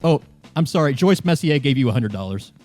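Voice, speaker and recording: A man narrating an improvised tabletop game as game master in a loose, halting, conversational way. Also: a little high, lively intonation, a little mellow, soft background music. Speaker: game master, podcast host.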